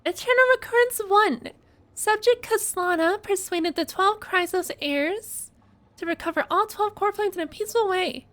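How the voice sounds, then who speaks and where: Casting: female game streamer, extremely high voice, conversational, theorizing and reading aloud as she reacts. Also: moderate pace. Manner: thinking out loud, conversational.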